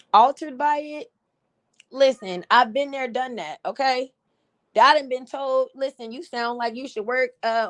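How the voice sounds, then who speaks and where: A woman with a slightly raspy voice hosting a casual livestream, giving blunt, animated commentary with frequent laughs. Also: on the high side. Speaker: livestream host.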